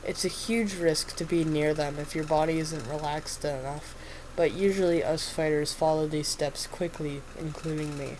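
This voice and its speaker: A woman's voice: sits low, steady tone, moderate pace, noisy background, close to an everyday voice. A woman with a stuffy nose reading the part of a weary male doctor telling his story in first person. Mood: wry.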